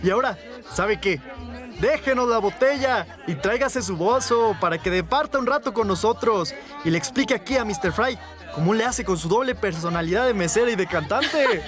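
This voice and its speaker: Radio play part: glib, sociable lieutenant, high voice, very lively intonation, theatrical courtesy, music behind. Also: male voice, moderate pace. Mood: coaxing, jovial. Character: jovial, glib police lieutenant, bar regular. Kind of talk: radio drama acting.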